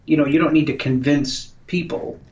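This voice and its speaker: A man's voice: on the high side, steady tone, moderate pace. A man co-hosting a casual radio talk show, speaking in a dry, wry, thoughtful way. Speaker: co-host. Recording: dull lo-fi sound.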